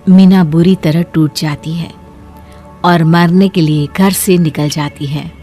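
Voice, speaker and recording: A woman narrates a cartoon moral story in a steady, clear manner. Her voice sits a little low for a woman. Light music plays under her. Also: slow.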